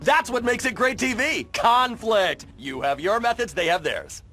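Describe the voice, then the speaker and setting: A man's voice: high voice, lively intonation, moderate pace, sharp tone, faint music behind. An actor playing a slick, sardonic, pushy TV show man in a cartoon game, talking casually. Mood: pushy, pleased. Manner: sarcastic, casual.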